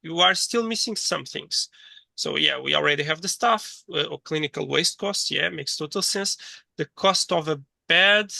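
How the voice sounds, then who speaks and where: Bright, dry-voiced man lecturing, thinking aloud. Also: fairly high pitch, moderate pace, creaky, dry recording. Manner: hesitant, thinking aloud with repeated words. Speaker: course lecturer. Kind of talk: interactive online teaching, reading chat replies.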